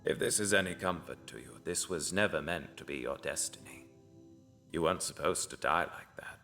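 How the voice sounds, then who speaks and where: A man playing a grave, devout cult seer who speaks in a solemn, cryptic, often hushed way in a dark fantasy audio drama. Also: a bass voice, moderate pace, soft background music, exaggerated voice. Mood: hushed, prophetic. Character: grave, devout male cult seer, ominous mentor to an assassin.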